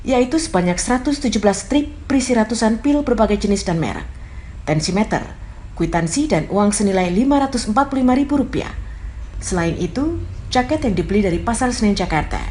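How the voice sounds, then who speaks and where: A woman reporter reading a scripted news voice-over at a steady, even pace. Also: medium pitch, slight background noise.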